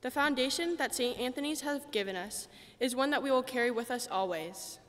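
A teenage girl delivers a written ceremony speech in a highish voice. It carries through a big church with a long reverberant tail. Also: expressive, fairly quick, fairly bright tone. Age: teenager, about 14. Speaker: graduating student speaker. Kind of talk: reading a prepared ceremony speech. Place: large church, indoors. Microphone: podium microphone. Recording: reverberant church podium recording.

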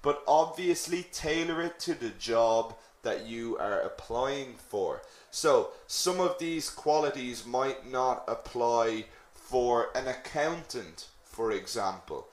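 Slow, chatty Irish man teaching. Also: mid-range voice, expressive, reverberant. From Ireland. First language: English. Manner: chatty, asks the class questions, frequent "okay?" check-ins. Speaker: English teacher. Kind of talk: online teaching lesson on CV writing.

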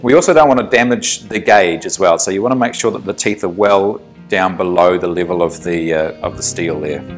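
A man in his thirties with a New Zealand accent, explaining a woodworking project step by step in a workshop, relaxed and thinking aloud with the odd um. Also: deep voice, brisk, few pauses, faint music behind. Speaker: woodworking instructor. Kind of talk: workshop tutorial. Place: small indoor workshop.

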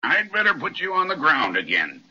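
An actor playing a gentle, kindly giant in a 1930s radio drama, with a slow, friendly, drawn-out delivery. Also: male voice, a little high, wildly swooping pitch, slightly rough, exaggerated voice. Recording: muffled 1930s radio transcription.

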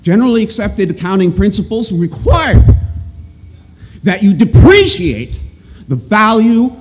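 A man giving halting, opinionated, emphatic testimony off the cuff at a podium microphone in an auditorium. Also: high-pitched, sing-song. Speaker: resident and economist giving public testimony. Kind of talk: halting off-the-cuff public speech. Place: large auditorium. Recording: clear background noise in auditorium.

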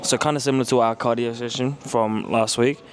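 A man's voice: medium pitch, fairly even intonation, a little fast, slight background noise. A young man chatting casually in a gym vlog, listing a workout and bantering with confidence and a stubborn streak. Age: young adult. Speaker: vlogger. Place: indoor gym lounge. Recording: music playing underneath.

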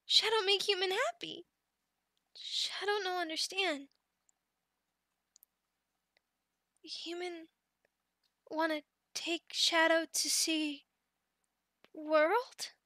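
A woman voicing a young adult female goblin in an ASMR roleplay, childlike, sweet, devoted and timid, speaking in short halting phrases of broken third-person speech. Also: extremely high pitch, fairly even intonation, bright, forceful tone, no echo, studio quality, heavily acted. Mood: worried, timid.

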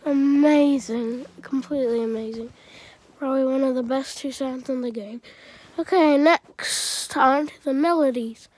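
A high-voiced woman talks slowly and casually, her voice stuffy from a cold. She rambles and clears her throat as she reviews a music game, filmed on a phone at home. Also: darkish tone, rough recording.

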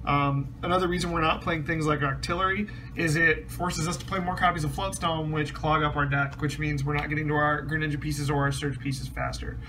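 A man's voice: on the high side, fairly even intonation, fairly quick, hardly any pauses, background noise. A man casually explaining a trading card deck, rambling with many ums and restarts, his voice a bit strained. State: slightly losing his voice, clears throat. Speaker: card game deck reviewer.

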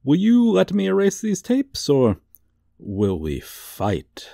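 A man playing a gloating, suave supervillain in a comedic ASMR roleplay, with a theatrical, teasing, drawn-out delivery. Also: sits high, huge pitch swings, creaky, warm tone, no room sound, clean studio sound, exaggerated voice. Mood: smug, menacing. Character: gloating, suave, theatrical male supervillain toying with a young hero.

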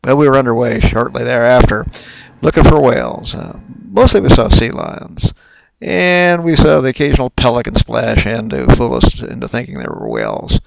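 An older man, a sailing captain, narrating his own trip video in a relaxed, folksy way with some fillers. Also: medium pitch, moderate pace, gravelly, noisy background.

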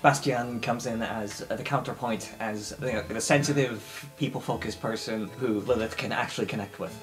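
A woman's voice: a bass voice, normal speed, poor audio. A woman improvising in a tabletop game, chatting casually as she bounces ideas around. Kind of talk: casual improvised roleplay chat. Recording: music bed under the voice. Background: background music.

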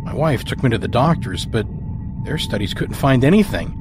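A man hosting and narrating a scripted horror podcast in a dramatic, deliberate manner. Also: mid-range voice, very animated. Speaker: host and story narrator. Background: music score.

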